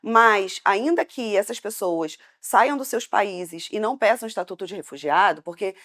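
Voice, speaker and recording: A woman's voice: mid-range voice, lively intonation, normal speed, a bit bright. A woman with a Brazilian Portuguese accent, speaking as a debate panelist in an impassioned, hesitant way.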